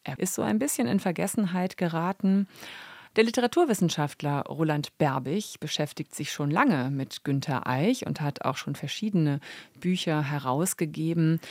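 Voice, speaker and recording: A woman's voice: sits low, normal speed, a little mellow, no room sound. A woman presenting and interviewing on a radio culture programme.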